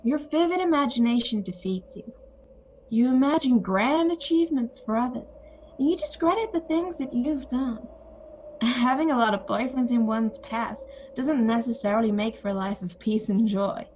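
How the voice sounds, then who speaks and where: High-voiced, wry literature-teacher sister played by a woman. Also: moderate pace, darkish tone, slight background noise, close to an everyday voice, rough recording. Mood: calm, wise. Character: calm wry older sister Bea, former literature teacher. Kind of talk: audio play acting.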